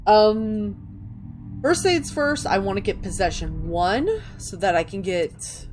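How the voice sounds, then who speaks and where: A woman's voice: mid-range voice, takes it slowly, background noise. A woman hosting a gaming video, reading in-game texts aloud and commenting casually as she plays.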